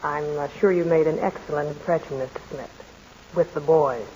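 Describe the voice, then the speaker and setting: A woman's voice: deep voice, moderate pace, mellow, slightly rough, lo-fi sound. An actress playing a wry young woman newspaper editor who teases and probes, in 1940s radio drama style. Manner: wry, probing, lightly mocking.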